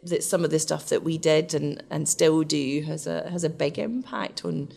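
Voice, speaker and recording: A middle-aged woman with a Scottish accent, talking in a chatty, animated way. Her voice is fairly low and has a gravelly edge. She is a guest reminiscing in an interview. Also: moderate pace. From west of Scotland. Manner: chatty, animated, laughs often. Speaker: interview guest, research scientist. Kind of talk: casual storytelling interview.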